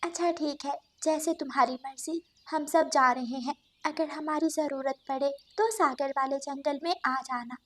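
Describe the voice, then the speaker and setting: A woman voicing a caring, worried mother bird in an animated moral story. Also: high voice, moderate pace, hardly any pauses, voice pushed up, close to an everyday voice. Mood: concerned, urging.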